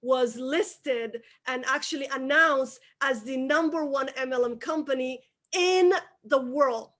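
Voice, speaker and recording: High-voiced woman. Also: a little slow, a bit bright. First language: Somali. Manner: emphatic, repeats key phrases for emphasis. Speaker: guest, business owner. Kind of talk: motivational interview talk.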